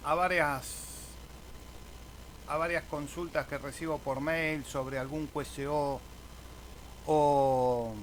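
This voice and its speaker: A man's voice: medium pitch, a little hiss or hum, rough recording. A man with an Argentine Spanish accent explaining things informally as a video host, hesitating and adding little checking tags. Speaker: amateur radio operator and video host. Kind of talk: informal screen tutorial.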